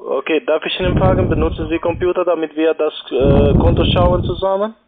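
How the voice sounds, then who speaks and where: A man's voice: on the high side, fairly even intonation, a little fast. A man with a foreign accent, not a native German speaker, insistent on a phone call in broken grammar. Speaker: phone scam caller. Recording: noisy tinny phone line.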